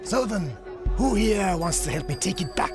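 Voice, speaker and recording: A man voicing an unhinged, theatrical clown crime boss in a motion comic, mock-polite and halting, with menace underneath. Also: high-pitched, big pitch swings, music in the background.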